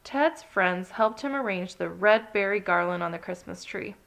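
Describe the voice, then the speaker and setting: A woman narrating a children's read-along story with expressive, dramatic emphasis. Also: mid-range voice, normal speed, gravelly.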